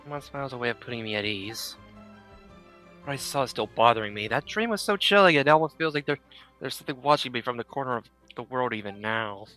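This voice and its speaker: Streamer playing thru a game, reads lines out loud, offhand and halting, bright sharp voice, music in back. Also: medium pitch, animated. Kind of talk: live game stream commentary, reading dialogue aloud. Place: live stream voice over game audio.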